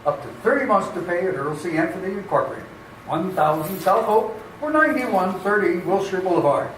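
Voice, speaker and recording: A man playing an eager old-time radio advertisement pitchman, recorded at a distance in an echoey space. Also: lively intonation, a little fast, fairly bright tone, slight background noise. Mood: eager selling. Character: brisk, eager 1950s radio car-ad pitchman.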